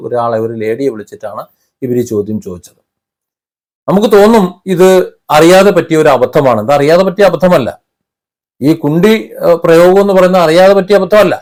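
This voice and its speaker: Man, slightly high-pitched voice, opinion commentary, critical, emphatic, halting delivery. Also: a little mellow. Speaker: commentary vlogger.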